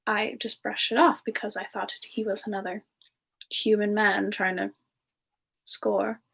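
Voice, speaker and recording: A woman playing a bashful, soft-spoken siren ice spirit, telling her story slowly and hesitantly in a semi-ASMR roleplay. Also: medium pitch, little pitch movement, slight background noise. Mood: fond, reminiscing. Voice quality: soft, breathy, slightly creaky. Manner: slow, hesitant, reminiscing with pauses.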